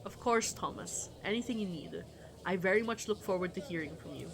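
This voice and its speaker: A woman's voice: lowish voice, lively intonation, a little fast, background noise. A woman voicing a proud but humbled older male cardinal in a visual novel, speaking formally and earnestly. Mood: warm, agreeable. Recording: music behind the voice.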